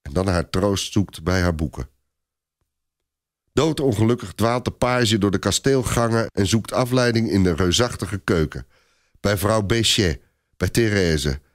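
A man's voice: fairly low pitch, expressive, a little slow, dry recording, studio quality. A man narrating a scripted story as a storyteller.

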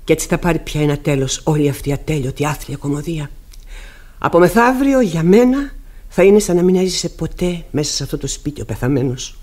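An actress playing a stern, embittered widowed mother in radio theatre, speaking gravely and deliberately. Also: low voice, sing-song, darkish tone. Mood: grim resolve. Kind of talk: classic Greek radio theatre acting.

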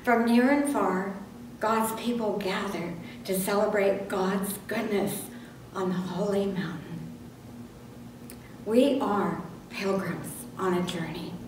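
A woman's voice: mid-range voice, steady tone, takes it slowly. Older woman reading in very echoey church. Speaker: lay reader in church service. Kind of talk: scripted liturgy and scripture reading. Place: medium-sized church sanctuary, indoors. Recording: very echoey church, distant mic, noisy.

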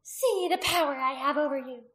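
Woman as fanatical storybook priestess, very high piercing voice, commanding, accusing, cruel, roomy echo. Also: normal speed, bright, forceful tone, heavily acted. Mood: gloating menace. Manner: shrill, accusing, imperious.

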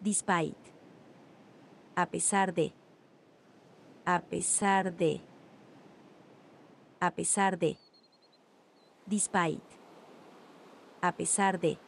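A woman reading Spanish and English phrases slowly, one at a time, for language learners. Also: fairly low pitch, steady tone, creaky, a little hiss or hum. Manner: reads each phrase slowly with long pauses. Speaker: language teacher. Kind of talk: slow repeated phrase drills.

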